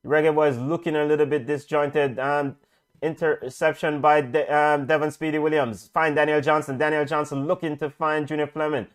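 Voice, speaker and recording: A middle-aged man commentates on a football match as it happens. His voice sits a little high for a man, and he goes through the play-by-play haltingly, repeating phrases. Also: little pitch movement. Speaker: football watch-along commentator. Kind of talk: live football commentary with casual chat.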